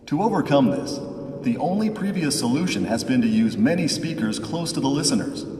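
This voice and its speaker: A man reading a scripted product demonstration in a measured, promotional way, heard as playback through a loudspeaker in a large church. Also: mid-range voice, recorded from a distance. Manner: measured, even, promotional delivery. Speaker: product demo narrator. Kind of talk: scripted corporate narration. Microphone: room microphones at a distance from a loudspeaker. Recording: played back through a loudspeaker in a reverberant church.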